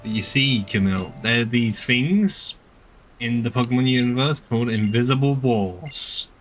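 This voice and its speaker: A man's voice: mid-range voice, moderate pace, fairly bright tone, faint music behind, rough recording. A man streaming a video game with casual commentary, sounding exasperated, sardonic and nitpicking. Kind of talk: casual game commentary with dialogue read aloud.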